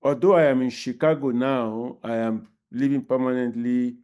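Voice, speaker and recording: Halting older Nigerian man, smooth voice. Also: mid-range voice, steady tone, dark tone. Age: 80s. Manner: halting, deliberate, many pauses. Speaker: panelist. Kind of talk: online panel talk.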